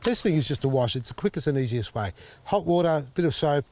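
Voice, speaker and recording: A man, slightly husky as his voice recovers, chatting casually while demonstrating repotting outdoors in his garden. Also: a little high, expressive, fast talker. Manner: chatty, thinks out loud, many fillers. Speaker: gardening show host. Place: outdoor garden beside a tall hedge.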